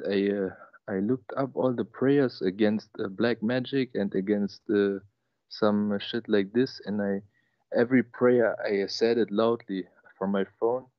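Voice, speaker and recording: A man's voice: lowish voice, no room sound, dull audio. A young man from Germany in his twenties calling in to tell a personal story, hesitant and emotional, with many fillers and restarts. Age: 20s. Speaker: caller. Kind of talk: personal story on a call-in show.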